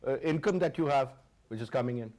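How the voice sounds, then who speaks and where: A man hosting a televised interview, steering the conversation with emphatic, repeated phrases. His voice sits at an ordinary middle pitch, and he leans into his questions. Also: quick pace, darkish tone. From Pakistan. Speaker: TV host. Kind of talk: interviewing a guest.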